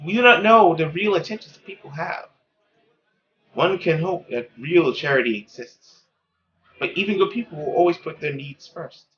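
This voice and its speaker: A man voicing a stern, cynical mother in a visual novel, measured and admonishing. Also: on the high side, expressive, dull audio, close to an everyday voice.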